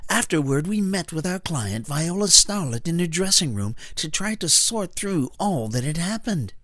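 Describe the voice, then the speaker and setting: A man's voice: fairly high pitch, big pitch swings, normal speed, pushed and bright, dry recording, studio quality. A man playing an earnest detective's sidekick, narrating a scripted audio drama.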